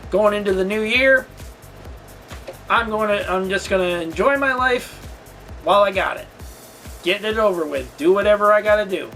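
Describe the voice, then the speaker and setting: A man telling a personal story as a vlogger, casual and candid, talking in a halting, rambling way. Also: high-pitched, expressive, pushed and bright, faint music behind. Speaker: storytelling vlogger and channel host.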